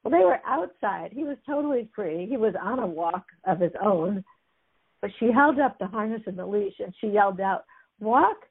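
A woman's voice: mid-range voice, animated, normal speed, breathy edge. A woman chattily retelling stories as a guest, amused, with many fillers. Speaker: guest discussing a book. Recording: tinny phone-like line.

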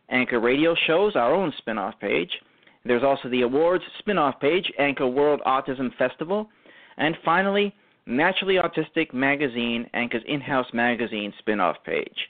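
A man presents a live radio show in a mid-range voice. His phrasing is formal and ornate, like a scripted announcement, with halting stumbles along the way. The sound is thin and narrow, as if down a telephone line. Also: mid-range voice. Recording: tinny phone-like internet radio line.

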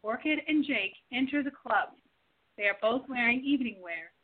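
Female narrator, reads scene directions, slightly high voice, phone-quality sound. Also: expressive, moderate pace. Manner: reading stage directions aloud. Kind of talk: scripted radio play narration. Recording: tinny phone-like recording.

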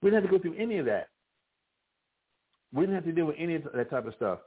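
A man teaching a scripture class, preaching in a halting way with many restarts and fillers. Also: a little high, very animated, slightly rough. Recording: phone-like narrow band.

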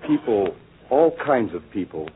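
Earnest young songwriter, tinny old radio. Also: male voice, on the low side, sing-song, a little hiss or hum. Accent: American. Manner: earnest, deliberate, serious. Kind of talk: 1940s radio drama acting.

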